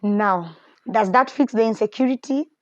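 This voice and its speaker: A woman's voice: medium pitch, moderate pace, no echo, muffled recording. Candid woman sharing a faith reflection. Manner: candid, reflective, animated when quoting others. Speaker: podcast host. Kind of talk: personal faith talk.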